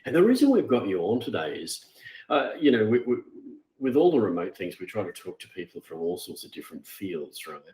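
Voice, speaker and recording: A man hosting an interview, speaking deliberately with hesitant restarts and repeated words. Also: lowish voice, very animated, mellow. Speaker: interview host. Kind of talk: conversational interview. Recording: roomy echo.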